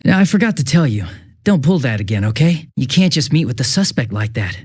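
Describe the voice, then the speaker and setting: A man voicing a young police detective in a video game, affable and easily flustered, with a casual, hesitant and excitable way of talking. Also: medium pitch, a little fast, husky, no echo, studio quality. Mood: friendly, reassuring. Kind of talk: English video game voice acting.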